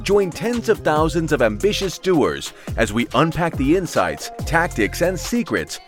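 A man's voice: fairly high pitch, a little slow, faint music behind. A man reading the scripted introduction of a podcast as announcer. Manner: announcer delivery, lively. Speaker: show announcer.

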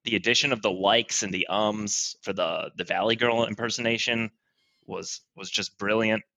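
A man's voice: lowish voice, steady tone, moderate pace, creaky, pushed and bright, no room sound. A man appearing as a guest critic on a comedy podcast, giving casual mock-serious feedback.

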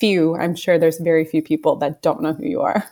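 Bubbly woman podcast host, low voice. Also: steady tone, fairly quick, warm tone. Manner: bubbly and enthusiastic. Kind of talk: casual podcast interview.

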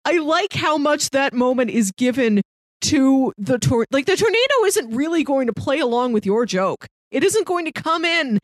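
A woman's voice: sits high, expressive, normal speed, no echo, clean studio sound. A woman co-hosting a podcast, chatting casually about film in a conversational way peppered with "like.". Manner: conversational, frequent "like". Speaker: podcast co-host. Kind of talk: casual film discussion chat.

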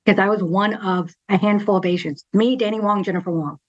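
A woman's voice: medium pitch, steady tone, a little fast, a little mellow, dull audio. A middle-aged woman from Texas, a TV news anchor, talking candidly as a podcast guest, laughing often and tagging "right?" onto her points. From Texas, USA. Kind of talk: casual interview conversation.

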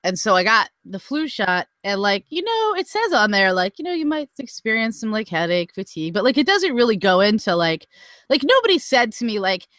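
A woman's voice: medium pitch, big pitch swings, brisk, a bit bright, no echo. A woman co-hosting a podcast in loose, casual chat. She giggles often, leans on "like" and lets sentences trail away. Her voice sits mid-range for a woman and sounds dry and close. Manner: giggly, heavy use of "like", trailing off. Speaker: podcast co-host.

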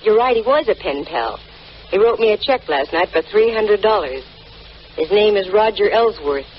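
An actress playing a brisk, arch, self-assured female private eye in a vintage radio detective drama. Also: mid-range voice, lively intonation, natural voice. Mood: cool, teasing. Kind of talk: 1940s-50s radio detective drama, acting and narrating. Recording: muffled, noisy old radio recording.

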